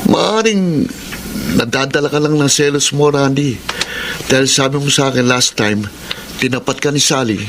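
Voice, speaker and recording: An actor playing a skeptical, reasonable coworker and friend in a drama. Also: male voice, medium pitch, normal speed, noisy background, lightly acted. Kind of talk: Filipino radio-style drama.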